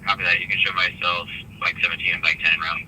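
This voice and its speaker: A man's voice: sits low, flat delivery, fast talker, pushed and bright, poor audio. A man on emergency radio with a hard, piercing tone. His speech is clipped and procedural, coming through a scanner with faint noise around it. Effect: two-way radio heard through a scanner.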